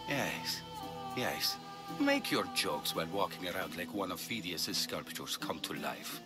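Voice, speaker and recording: An actor playing an earnest, weary older physician in a video game, grave and compassionate with a dry wit. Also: male voice, deep voice, wildly swooping pitch, unhurried, full character voice. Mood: wry, pressed. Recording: music behind the voice.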